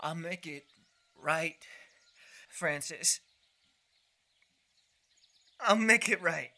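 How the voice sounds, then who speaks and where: A woman playing a dazed, guilt-ridden soul in a horror audio drama, halting, broken and pleading. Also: deep voice, sharp tone, full character voice. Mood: anguished, pleading.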